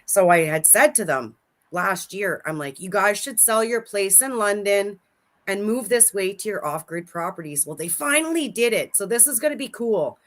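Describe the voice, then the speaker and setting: A woman's voice: medium pitch, animated, moderate pace. A woman in her forties, a guest on a livestream, chatting casually and laughing as she tells stories about friends. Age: 40s. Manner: chatty, laughs often, storytelling.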